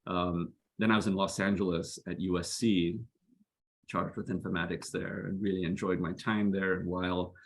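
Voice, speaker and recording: A man's voice: deep voice, little pitch movement, moderate pace, husky. A man in his fifties from Southeast Asia, a guest telling his career story in an earnest, reflective way and laughing easily. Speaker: guest, physician and health executive. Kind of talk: casual video-call interview.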